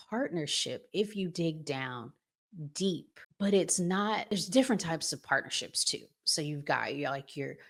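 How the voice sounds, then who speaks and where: A middle-aged woman hosting an informal advice talk for women, speaking in an emphatic, deliberate way with preacher-like phrasing. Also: low voice. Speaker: podcast host giving marriage advice.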